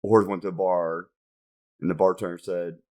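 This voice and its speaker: Excitable man with a low voice. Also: moderate pace. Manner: excitable, voice jumps around when excited, laughs often. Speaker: podcast co-host. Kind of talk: casual chat.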